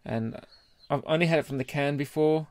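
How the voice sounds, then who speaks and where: A man's voice: fairly high pitch, fairly quick, no room sound. A British man co-hosting a casual beer podcast in a blokey, informal, sweary way. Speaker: podcast co-host.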